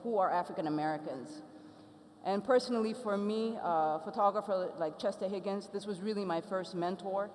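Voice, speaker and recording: A woman's voice: lowish voice, a little mellow. A woman from Ethiopia with an Ethiopian accent, giving an earnest, reflective conference talk with frequent ums and uhs. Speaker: festival speaker. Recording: reverberant hall.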